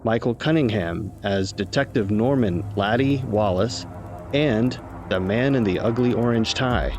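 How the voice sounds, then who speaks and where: A man who writes and produces the show, announcing scripted promos and credits. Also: lowish voice.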